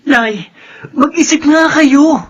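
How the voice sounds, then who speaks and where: A man's voice: extremely high pitch, takes it slowly, fairly bright tone, muffled recording, full character voice. A man playing a resentful, protective teenage son in a radio drama, indignant and confrontational, with a Filipino accent. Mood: angry. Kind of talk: Philippine radio drama acting.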